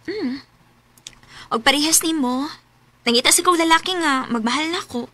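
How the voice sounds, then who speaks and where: A woman's voice: sits high, moderate pace, pushed and bright. A woman with a Cebuano Filipino accent playing a forthright young single mother in her early twenties in a radio drama. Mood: earnest. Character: forthright 21-year-old single mother eager for love.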